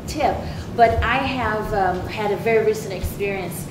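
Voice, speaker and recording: Woman from Brazil, panelist, hesitant delivery, heard from afar in an echoey hall with rumble. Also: moderate pace. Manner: hesitant, many fillers and restarts, laughs often. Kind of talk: panel discussion remarks. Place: large university event hall. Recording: echoey hall, distant mic, background rumble.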